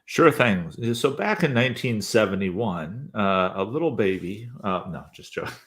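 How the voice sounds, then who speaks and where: A man's voice: lowish voice, animated, moderate pace, a bit bright. A man in his fifties, a guest telling his career story in an easygoing, rambling way with lots of hesitant fillers. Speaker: podcast host, interview guest.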